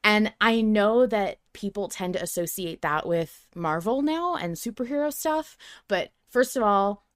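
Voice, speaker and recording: A woman's voice: medium pitch, lively intonation, a little slow, few pauses, clean tone, no room sound. A woman hosting a podcast in casual, enthusiastic, effusive conversation. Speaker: podcast host.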